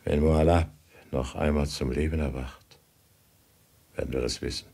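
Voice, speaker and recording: Very deep, husky, stern ruler. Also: male voice, steady tone, dark tone, heavily acted. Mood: grave suspicion. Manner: grave, measured, probing. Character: stern, suspicious male ruler interrogating. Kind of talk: 1950s German radio play acting.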